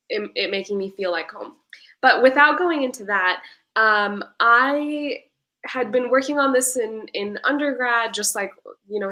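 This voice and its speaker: Woman, young adult, panelist, middle pitch, reflective, hesitant fillers. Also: fairly bright tone, rough recording. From Pakistan. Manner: reflective, many fillers and restarts. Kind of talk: panel discussion.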